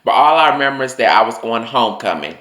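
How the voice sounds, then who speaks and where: A man's voice: big pitch swings, quick pace, pushed and bright. Casual young male vlogger, talky and profane, mid-range voice that drifts up and down; roomy echo. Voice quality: voice swings higher and lower. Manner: chatty, loose, full of "like" and swearing. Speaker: vlogger telling personal stories. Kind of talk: casual storytelling monologue.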